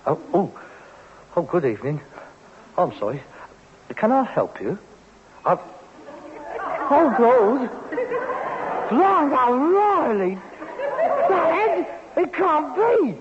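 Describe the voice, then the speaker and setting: A man's voice: extremely high pitch, sing-song, extremely slow, long pauses, in a large hall, crowd noise, heavily acted. A man acting a resentful, sarcastic rag-and-bone man with a London accent in an old British radio sitcom. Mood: puzzled, then shocked. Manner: sarcastic, mock-impressed. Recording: noisy, dull vintage radio recording.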